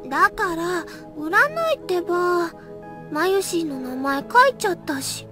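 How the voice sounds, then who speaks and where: A woman voicing a sweet, airy teenage girl with a soft, childlike, cutesy voice in a visual novel. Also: very high-pitched, expressive, heavily acted. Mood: pouting. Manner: cutesy singsong, drawn-out words. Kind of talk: Japanese visual novel voice acting. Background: music.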